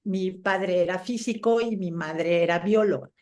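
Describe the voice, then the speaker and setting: Middle aged lady from chile and mexico, kinda low voice, chatting away telling little stories in an interview. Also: a little slow, a little mellow. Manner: conversational, anecdotal storytelling. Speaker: interviewed scientist guest. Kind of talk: casual reminiscing interview answers.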